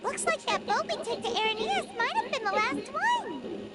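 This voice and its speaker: A tiny, squeaky game sidekick with an extremely high childlike voice. It is chirpy and excitable, swooping wildly in pitch, over loud background noise. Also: female voice, moderate pace. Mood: spooked, urgent. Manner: refers to herself in third person, exclaiming. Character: tiny floating childlike companion, chirpy, excitable, easily spooked. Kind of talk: video game character acting.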